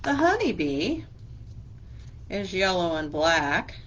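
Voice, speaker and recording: Slow, patient woman librarian for children. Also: fairly low pitch, very animated, a bit bright, slight background noise. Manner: slow, patient, teacherly with simple questions to kids. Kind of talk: children's story time craft lesson.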